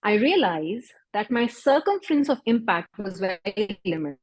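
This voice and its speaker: Husky-voiced Pakistani woman giving a talk. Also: mid-range voice, very animated, normal speed, no echo. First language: Urdu. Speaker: doctor and health startup founder. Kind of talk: event talk.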